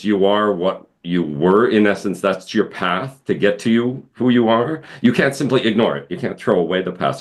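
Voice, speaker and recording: A man hosting a spiritual interview, earnest and musing, often repeating himself. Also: low-pitched. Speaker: host.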